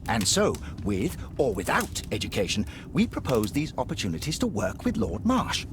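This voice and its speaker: A self-assured physician of the Victorian era in a game. His eloquent pitch to the listener swoops dramatically up and down, with faint noise behind it. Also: wildly swooping pitch, moderate pace. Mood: suave, persuasive. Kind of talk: video game voice acting.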